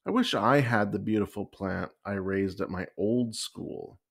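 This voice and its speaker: A man voicing an anxious, excitable young schoolgirl in a children's storybook, given to high exclamations. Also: a little slow, creaky, husky, full character voice. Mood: wistful.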